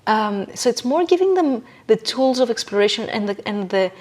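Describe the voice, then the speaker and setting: A woman's voice: fairly high pitch, expressive, normal speed, darkish tone. A woman being interviewed as a podcast guest, talking conversationally and emphatically, repeating phrases for stress. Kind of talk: conversational interview, sharing views on life.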